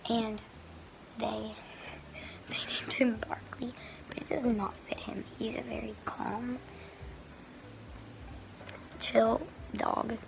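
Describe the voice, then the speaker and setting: A young teenage girl chatting casually in a home vlog. Her voice is hoarse and she rambles in a soft, breathy way. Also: a little high, level tone, slow, long pauses, whispered, low-quality recording. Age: young teen girl. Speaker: vlogger. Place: bedroom at home. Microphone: phone camera held close.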